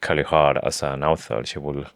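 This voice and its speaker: A man's voice: extremely low pitch, fairly even intonation, normal speed, vocal fry, clean tone, no echo, clean studio sound. A man co-hosting a podcast in casual book chat, with non-native English phrasing. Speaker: podcast co-host.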